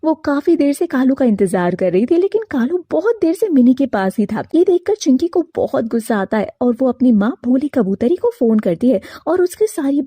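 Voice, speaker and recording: A woman storyteller narrates an animated moral tale from a script. Her voice is fairly high and very mellow. The recording is dry, with no room sound. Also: normal speed, hardly any pauses, slightly rough, no echo.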